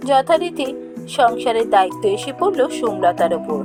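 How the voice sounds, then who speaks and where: A woman narrates a children's fairy tale in a high voice. She tells it in short phrases with pauses, with music playing underneath. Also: very animated.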